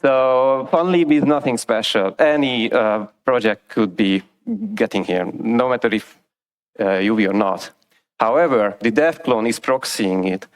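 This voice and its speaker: Man, middle pitch, technical conference speaker, halting delivery, short choppy phrases. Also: clean tone. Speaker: conference lightning talk speaker. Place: conference stage, indoors.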